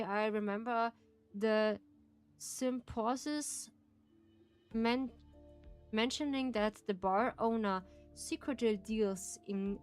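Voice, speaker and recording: Slow-speaking German-accented woman streamer. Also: fairly high pitch, clean tone. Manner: slow, careful phrasing with frequent laughs and restarts. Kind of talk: casual livestream, reading a game aloud.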